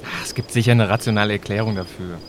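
Easygoing, low-voiced time traveller, played by a man. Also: steady tone, fast talker, slight background noise, close to an everyday voice. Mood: startled. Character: easygoing, adventurous male time traveller, rational friend. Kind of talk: amateur scripted audio drama.

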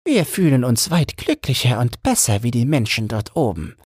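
Old mermaid grandmother, played by a man, fairy-tale audiobook, high-shifted creaky voice, swooping intonation, wise, resigned, instructive. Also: big pitch swings, a little mellow, no room sound, studio quality. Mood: grave, explaining. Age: older. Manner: slow, drawn-out, instructive.

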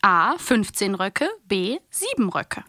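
A woman's voice: mid-range voice, sing-song, takes it slowly, pushed and bright, a little hiss or hum. A woman co-hosting a podcast in casual, teasing chat. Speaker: podcast co-host.

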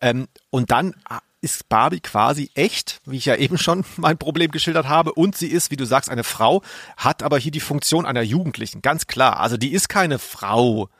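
A man's voice: mid-range voice, moderate pace, fairly bright tone, husky, no echo, studio quality. A man co-hosting a podcast, chatting casually in a bemused, emphatic, colloquial way. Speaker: podcast co-host. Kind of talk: casual review chat.

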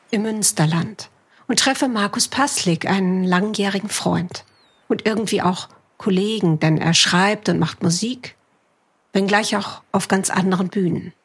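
A woman's voice: mid-range voice, husky. A middle-aged woman from a German North Sea island, hosting a podcast with narration and conversational interviewing. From Juist, North Sea island in Germany. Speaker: podcast host.

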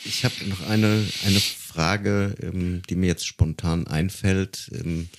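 A man hosting an interview, asking questions in a deliberate, searching, conversational way. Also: low voice, level tone. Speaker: interviewer, podcast host.

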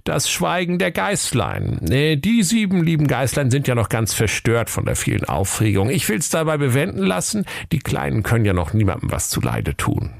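A man's voice: mid-range voice, wildly swooping pitch, normal speed, vocal fry, hardly any pauses, no echo, clean studio sound, voice pushed up. A man voicing an officious, huffy police inspector in a comic animal fairy tale, blustering and impatient. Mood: puzzled.

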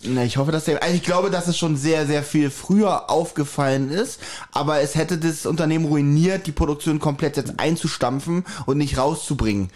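A man's voice: on the high side, a little fast, darkish tone. A man co-hosting a casual podcast, chatting informally with the others.

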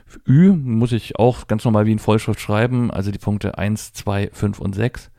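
A man's voice: fairly low pitch, fairly even intonation, normal speed, dark tone, dry recording, clean studio sound. A middle-aged man giving a conversational, step-by-step tech tutorial, explaining and spelling things out as he demonstrates a device. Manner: spells out letters and dot numbers step by step. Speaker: presenter of a tech tutorial for Braille users.

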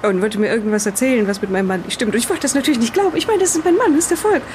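A woman playing a shaken, distraught wife in an improvised audio drama, telling her story in a halting, confiding way. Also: fairly high pitch, background noise, close to an everyday voice. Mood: anxious, confessing.

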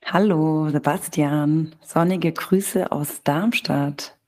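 Low-voiced slightly hoarse woman co-host. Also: takes it slowly, darkish tone. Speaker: podcast co-host. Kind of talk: casual podcast talk.

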